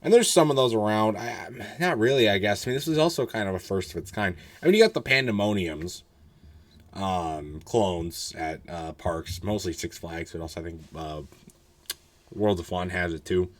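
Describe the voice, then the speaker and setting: A hoarse young man casually reviewing a roller coaster, rambling with many fillers and blunt, candid asides. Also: sits low, lively intonation, moderate pace.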